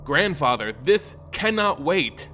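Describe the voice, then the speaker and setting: A man's voice: fairly high pitch, sing-song, takes it slowly, sharp tone, heavily acted. A man voicing an earnest young vampire aristocrat in a fantasy story, formal, urgent and pleading. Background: background music.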